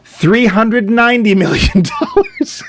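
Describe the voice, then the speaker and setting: A man's voice: very high-pitched, very animated, unhurried, slight background noise. A man co-hosting a movie podcast in casual, jokey chat, laughing often. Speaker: podcast co-host.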